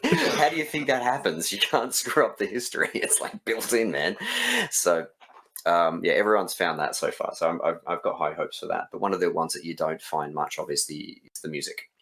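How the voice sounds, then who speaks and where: A man with an exceptionally deep voice chats casually in an interview. He speaks as a game developer and writer, in an informal conversational way. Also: moderate pace, a bit bright.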